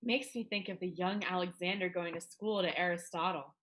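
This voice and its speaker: A woman's voice: fairly low pitch, a little fast, a bit bright, natural voice, rough recording. A woman playing a rueful, defiant teenage schoolgirl in a dramatic reading of a period play. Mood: admiring.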